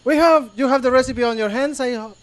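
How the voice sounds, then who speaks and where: A man's voice: very high voice, fast. A man from Chile with a strong Chilean Spanish accent, a ship's chef joking with the audience in broken English during a live cooking demonstration. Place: ship's show kitchen before an audience.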